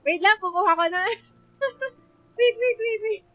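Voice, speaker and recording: A woman's voice: extremely high pitch, a little slow, fairly bright tone, dry recording, lo-fi sound. A woman with a Filipino accent chatting casually and emotionally as she livestreams a game, full of exclamations. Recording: music playing behind the voice. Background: game music.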